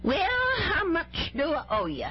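Kindly old landlady, lively high voice. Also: unhurried. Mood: solicitous. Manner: chatty, solicitous. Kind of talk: vintage radio drama acting. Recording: dull vintage radio recording, faint music.

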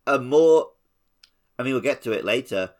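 A man's voice: mid-range voice, lively intonation, moderate pace, a little mellow. A man co-hosting a podcast, chatting casually about a video game. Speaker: podcast co-host; teacher.

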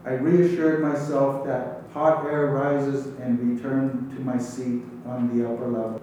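A man's voice: medium pitch, mellow. A man reading a written first-person story aloud. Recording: distant, very echoey room, faint noise.

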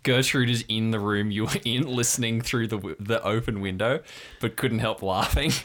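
A man narrating an improvised tabletop story as game master in a halting, informal way. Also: on the low side, steady tone, a bit bright.

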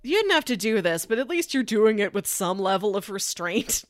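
A woman's voice: medium pitch, sing-song, normal speed, no echo, clean studio sound. A woman in a casual podcast review chat, tossing in asides and imagined quotes. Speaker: podcast host reviewing anime.

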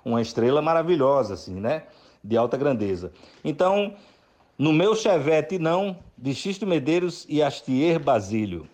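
Male songwriter, lively anecdotal delivery, voice sitting a bit high. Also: moderate pace. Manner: chatty, animated, with warm praise for collaborators. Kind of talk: casual storytelling.

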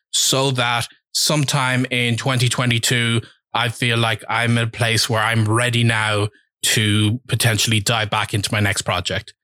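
Bright-voiced man, reflective. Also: medium pitch, dry recording. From Ireland. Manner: reflective and candid, with frequent pauses and fillers. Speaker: language-learning vlogger. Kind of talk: personal update to camera. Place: home.

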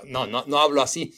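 A man's voice: mid-range voice, sharp tone. A man with a Mexico City Spanish accent hosting a football podcast, talking in a rambling casual monologue full of fillers and swearing. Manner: rambling, frequent fillers and profanity.

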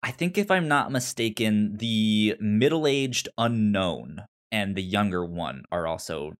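Halting, stammering man in casual chat. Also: lowish voice, expressive. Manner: halting, stammers and repeats word starts. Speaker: podcast host. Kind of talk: casual chat discussing a TV series.

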